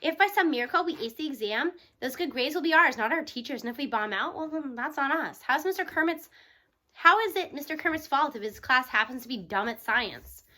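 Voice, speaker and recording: A woman reading a first-person children's novel aloud in an animated, expressive way. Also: sits high, brisk. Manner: animated, acting out dialogue. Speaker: audiobook reader.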